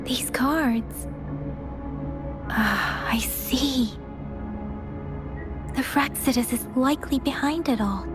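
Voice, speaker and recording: An actress playing a gentle, perceptive young female scout in a video game, speaking earnestly and softly. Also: sits high, slow pace, pauses often. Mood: investigating. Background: background music.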